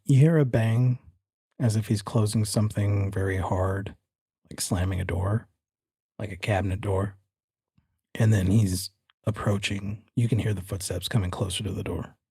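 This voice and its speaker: Male game master, low mellow voice with a husky edge, dry close sound, casual and teasing with players. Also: fairly even intonation, moderate pace, dry recording. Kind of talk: narrating a tabletop horror role-playing game.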